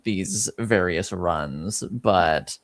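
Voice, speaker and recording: A man improvising narration as game master of a tabletop game, with halting, hesitant phrasing. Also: deep voice, no echo.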